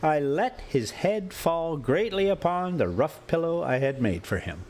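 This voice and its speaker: A man reading a classic adventure story aloud in deliberate, theatrical phrases broken into short clauses. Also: a little high, big pitch swings, darkish tone. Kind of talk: dramatic audiobook-style narration of an adventure story. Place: inside a moving motorhome.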